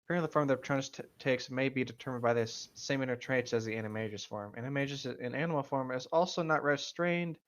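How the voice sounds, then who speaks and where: A man's voice: medium pitch, fast, hardly any pauses. Sardonic man on a slightly dull mic. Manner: sweary, sardonic asides. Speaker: co-host of a fan fiction reading show. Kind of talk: casual reading aloud with joking commentary.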